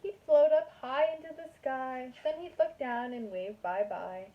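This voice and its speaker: A woman's voice: sits high, unhurried, highs cut off. A woman kindergarten teacher reading a picture book aloud to young children in a sing-song manner.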